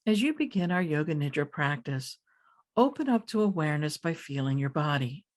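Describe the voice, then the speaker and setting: Calm woman guiding a meditation. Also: deep voice. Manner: calm, deliberate phrasing with pauses. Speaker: meditation teacher. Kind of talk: guided meditation narration.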